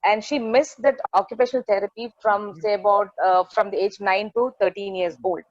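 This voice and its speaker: A woman with an Indian English accent, a parent speaking earnestly and haltingly on a call. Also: mid-range voice, fairly even intonation, no room sound. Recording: dry, dull call audio.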